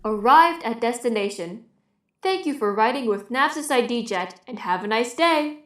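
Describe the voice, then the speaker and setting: A woman's voice: fairly high pitch, animated, moderate pace, fairly bright tone, reverberant. A woman playing a small, polite robot companion in an audio drama, speaking in formal status-report phrases. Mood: polite, mechanical. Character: small cheerful all-purpose robot companion with personality core.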